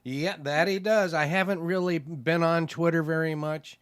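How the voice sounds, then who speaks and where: A man's voice: a little high, fairly even intonation, moderate pace, smooth, dry recording. A man co-hosting a podcast, chatting casually and rambling with lots of "you know" fillers. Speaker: podcast co-host. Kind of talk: casual chat.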